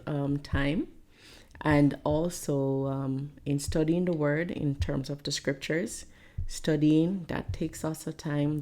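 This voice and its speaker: A middle-aged woman from Jamaica with a thick Jamaican accent, a pastor answering interview questions in a measured way with frequent pauses. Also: low-pitched, warm tone. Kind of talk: podcast interview guest.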